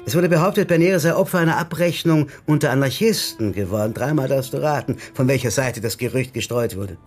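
An actor playing a well-informed political comrade of a detective in a radio crime drama, speaking in a wry, knowing manner. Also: male voice, medium pitch, quick pace, natural voice. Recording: studio radio drama with music underneath.

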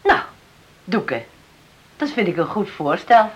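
A shrewd farm woman in a radio play. Her mid-pitched voice swings expressively. She is firm, businesslike and sure of herself. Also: very animated, slow pace. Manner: businesslike, self-assured. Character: shrewd, firm widowed farm owner driving a bargain. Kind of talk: Dutch radio drama acting.

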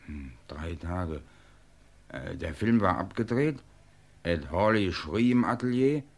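Grumpy, charming movie star for radio crime drama; lowish voice, casual and wry. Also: male voice, lively intonation, slow pace, creaky, heavily acted. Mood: stunned. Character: grumpy, charming male Hollywood film star.

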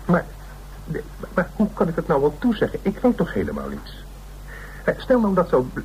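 A man's voice: a little high, huge pitch swings, moderate pace, a little mellow, poor audio. A man playing a flustered, easily offended legal adviser in a radio play, hesitant and stammering. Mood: indignant, amused. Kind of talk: naturalistic Dutch radio play acting. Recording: radio play recording with faint noise.

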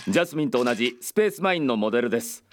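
An actor playing a dutiful junior police detective in a radio drama, reporting in a polite, businesslike way. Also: male voice, medium pitch, expressive, rapid-fire, slight background noise, natural voice.

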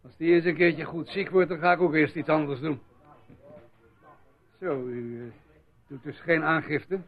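An actor playing a gossipy, grumbling village doctor in a radio play. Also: male voice, a little high, fairly even intonation, measured pace. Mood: chatty, grumbling. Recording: muffled, phone-like lo-fi radio play.

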